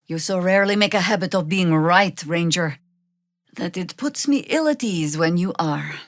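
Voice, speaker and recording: A woman's voice: lowish voice, animated, normal speed, dry recording. An actress playing a seasoned, sardonic female druid warrior in a video game, with a wry, commanding and theatrical delivery. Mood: wry, measured.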